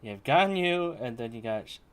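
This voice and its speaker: A woman streamer casually reacting to a game, talking in a halting way. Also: rumbling low, expressive. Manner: halting, searching for words. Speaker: streamer playing a story game. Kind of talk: casual live gameplay reaction.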